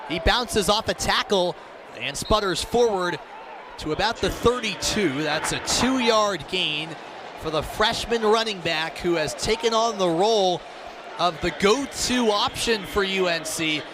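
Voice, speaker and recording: High-pitched American man calling live sports. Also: measured pace, crowd noise, background noise. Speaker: play-by-play sports announcer. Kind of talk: live radio sports commentary.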